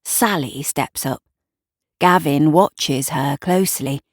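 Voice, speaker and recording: A woman with a low voice narrates an audio drama. Her delivery is slow, deliberate and atmospheric, recorded close and very dry. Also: dry recording. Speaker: writer and narrator.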